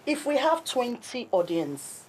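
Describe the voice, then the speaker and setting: A woman's voice: on the high side, big pitch swings, a little slow, a little mellow, slightly rough, poor audio. A Nigerian woman speaking earnestly and emphatically as an interview guest, in English with some Pidgin. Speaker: stage play cast or crew member, guest.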